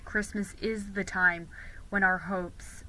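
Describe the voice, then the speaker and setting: A woman voicing a kindly, earnest boy in a storybook reading, speaking softly and sincerely. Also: mid-range voice, fairly even intonation, takes it slowly, low-quality recording. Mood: tender sincerity.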